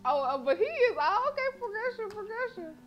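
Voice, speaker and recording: A woman's voice: extremely high pitch, very animated, fairly quick, highs cut off. A woman livestreaming a visual novel, reading it aloud with playful, slangy asides. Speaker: video game streamer. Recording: music playing behind the voice. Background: game music.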